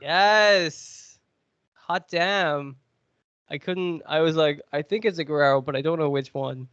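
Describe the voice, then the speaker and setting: Man, sniffly with a cold, casual podcast host, fairly high voice, dry muffled sound. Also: a little slow, fairly bright tone, smooth, no room sound. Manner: chatty, joking, quizmaster.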